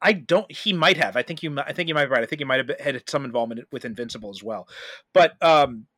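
A man's voice: medium pitch, fast, fairly bright tone, dry recording, studio quality. A man hosting a podcast, talking in a casual, conversational way. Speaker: podcast host.

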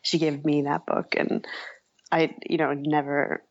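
Female writer as interview guest: low register with vocal fry, thoughtful and halting, conversational delivery, muffled recording. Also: little pitch movement, dry recording. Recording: dull, lo-fi, dry audio.

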